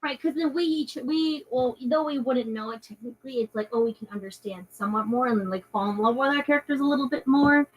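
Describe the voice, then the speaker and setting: A woman's voice: high-pitched, fast, hardly any pauses, rough recording. A woman chatting casually as a tabletop game player, enthusiastic and agreeable in manner. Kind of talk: casual chat.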